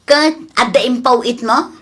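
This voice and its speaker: A woman with an Ilocano accent playing a stingy, nagging young wife with a husky voice in an audio comedy drama. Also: on the high side, a little slow, a bit bright. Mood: demanding. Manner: complaining, suspicious.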